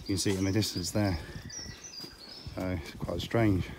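A middle-aged man with a hoarse voice from a cold, rambling casually while giving a walking tour. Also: deep voice, steady tone, normal speed, background noise. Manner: rambling, chatty, with frequent ums. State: hoarse, losing his voice from a cold. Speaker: vlogger touring filming locations. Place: outdoors on small town streets.

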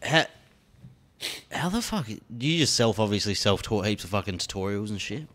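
A man's voice: on the low side, lively intonation, moderate pace, a bit bright, a little hiss or hum. A man with an Australian accent hosting a casual podcast, bantering bluntly and swearing freely. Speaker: podcast host.

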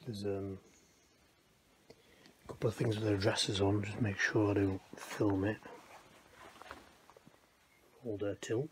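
A man filming as he explores an abandoned house indoors, talking casually and often in a low, half-whispered voice to his camera. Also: level tone, slow, pauses often. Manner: hushed, half-whispered remarks while exploring. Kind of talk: casual walkthrough narration. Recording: noisy handheld camera audio.

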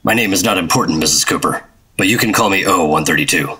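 Man playing a low-voiced hard-boiled 1950s secret agent. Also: fast, bright, forceful tone, slightly rough, heavily acted. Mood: guarded, formal. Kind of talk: 1950s radio drama acting and noir narration.

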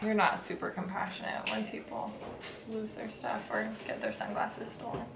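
A woman's voice: medium pitch, normal speed, gravelly. A woman in her thirties chatting casually and jokingly as a vlog co-host, recorded indoors from a few feet away. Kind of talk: casual comedic chat between friends. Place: small room at home, indoors. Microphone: camera a few feet away. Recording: roomy echo, faint background noise.

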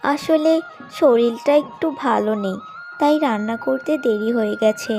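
A woman's voice: on the high side, normal speed, vocal fry, a little mellow. A woman voicing a meek, overworked young daughter-in-law in a cartoon. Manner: deferential, pleading. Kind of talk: cartoon story voice acting. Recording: music bed under the voice.

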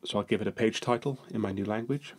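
Male tutorial narrator, lowish gravelly voice, a bit husky, steady step-by-step delivery. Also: fast.

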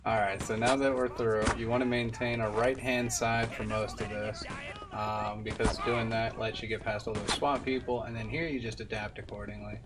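Young man commentating over loud game music. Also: on the low side, level tone, normal speed. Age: young adult. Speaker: game streamer. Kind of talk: casual speedrun commentary.

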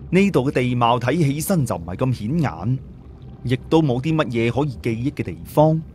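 Male audiobook narrator, dramatic and animated delivery, faint background noise. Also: mid-range voice, normal speed. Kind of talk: dramatic scripted story narration.

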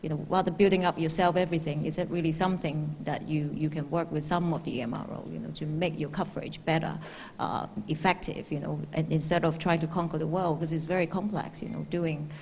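A middle-aged woman on a conference stage answers hesitantly in a low, gravelly voice, packing her sentences with fillers. She holds a handheld mic, and a faint hum sits under her words. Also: steady tone, brisk, darkish tone. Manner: hesitant, many fillers like "you know". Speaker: aviation company executive on a panel. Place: large conference hall stage.

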